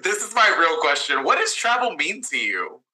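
A man's voice: on the high side, wildly swooping pitch, moderate pace, pushed and bright. An American man co-hosting a podcast in an upbeat presenting style. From Atlanta, Georgia, USA. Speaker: podcast co-host.

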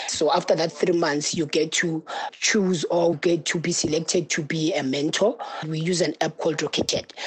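A woman's voice: sits low, husky, low-quality recording. A South African woman, a peer mentor, explaining her group work in a practical way. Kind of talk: interview answer.

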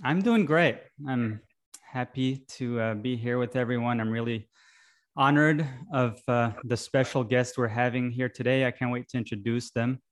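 A man's voice: mid-range voice, normal speed. Guy cohosting a podcast, friendly, lots of ums and uhs, on a video call. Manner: friendly, hesitant, with many ums and restarts. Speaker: podcast co-host. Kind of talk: casual chat and interview hosting.

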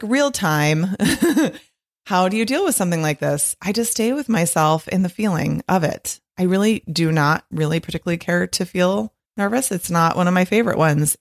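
Woman podcast host, middle-aged, a bit husky, lowish voice, chatty and rambling, laughs often. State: slightly husky from long recording. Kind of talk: casual solo podcast monologue.

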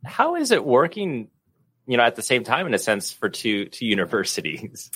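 A man's voice: mid-range voice, wildly swooping pitch, moderate pace, a bit bright, slightly rough, dry recording. A man hosting a podcast, interviewing a guest. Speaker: podcast host.

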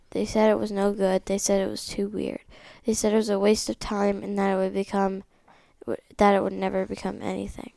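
A female read-along host reads a picture book aloud. Her voice is middling in pitch with a noticeable creak, and it is recorded dry, with little room sound. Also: a little mellow, no room sound.

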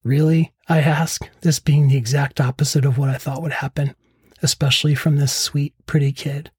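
A middle-aged man with a husky voice narrating a scripted first-person memoir as a podcast host. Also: on the high side, flat delivery, moderate pace, darkish tone, no echo. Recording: close dry voice over faint music.

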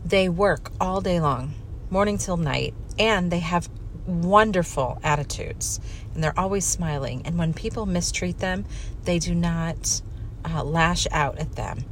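Low-voiced woman giving a candid talk. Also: unhurried, slight background noise, low-quality recording. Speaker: speaker giving a personal talk on faith and family life. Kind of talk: candid personal talk, conversational monologue.

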